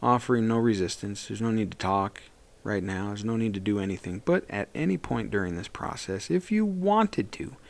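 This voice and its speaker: A man leading a guided meditation as a therapist, speaking in a gentle, soothing and reassuring way. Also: lowish voice, animated, normal speed, gravelly.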